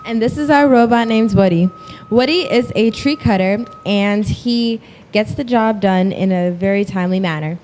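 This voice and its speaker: A young woman from New York presents her team's robot at an exhibition. Her delivery is earnest and partly rehearsed, and her voice sits at an ordinary pitch. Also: moderate pace, few pauses, darkish tone, slight background noise. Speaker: student presenter. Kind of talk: presenting a project.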